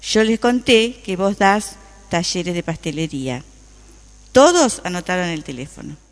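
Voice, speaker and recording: A woman's voice: on the low side, expressive, unhurried. Reverberant, friendly Argentine woman played by an actress. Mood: delighted. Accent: Argentine Rioplatense. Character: friendly, appreciative woman customer chatting with a friend. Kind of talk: radio play acting. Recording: reverberant room with long echo.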